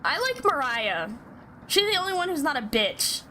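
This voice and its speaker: A woman's voice: high-pitched, very animated, normal speed, pushed and bright, slight background noise. A woman streaming a visual novel, reading aloud and reacting with playful, sarcastic, sweary commentary. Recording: music behind the voice. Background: game music.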